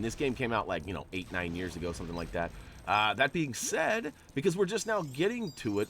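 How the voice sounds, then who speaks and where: A man's voice: mid-range voice, fairly bright tone, slight background noise. A man with a nasal, stuffy voice from allergies, giving chatty, joking gameplay commentary as a channel host. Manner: chatty, joking, rambling asides.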